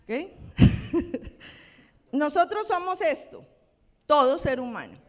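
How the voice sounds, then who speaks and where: Colombian woman pastor, high voice, conversational sermon, long church-hall reverb. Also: animated, slow pace, darkish tone. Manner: conversational, checks in with the congregation. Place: church sanctuary.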